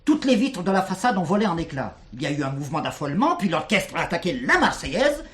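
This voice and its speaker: Excitable theatre director, fairly high voice, animated intonation, declamatory, earnest, stage comedy. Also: male voice, fast. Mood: grandiose pride. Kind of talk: French stage comedy acting.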